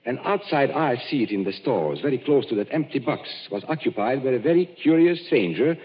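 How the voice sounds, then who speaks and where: An actor playing a suave stage magician who lays out clues in a smooth, measured, knowing way in an old radio drama. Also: male voice, medium pitch, animated, close to an everyday voice. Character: suave middle-aged male stage magician, sleuthing. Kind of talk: 1940s radio acting. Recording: dull old radio broadcast, reverberant.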